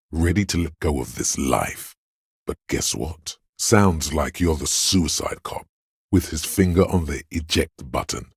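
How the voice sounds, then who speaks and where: The voice of pain inside someone's head, a male presence with an extremely low, husky rumble. It narrates a dark video game like grim poetry, brooding and deliberate. It goads the listener with theatrical menace, close and dry. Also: no echo, heavily acted. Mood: mocking, grim. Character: inner voice of pain, male, menacing, darkly poetic. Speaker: video game narrator voicing a character's inner thought. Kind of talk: dramatic second-person game narration.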